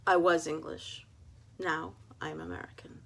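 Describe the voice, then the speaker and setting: A woman's voice: low voice, very animated, unhurried, creaky, full character voice. A woman reading as a weary noir private eye. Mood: guarded.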